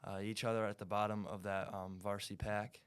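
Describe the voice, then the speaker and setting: A teenage boy runner speaking in a measured, hesitant way with frequent ums. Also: fairly low pitch, little pitch movement, smooth, no room sound. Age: teens. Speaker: high school runner.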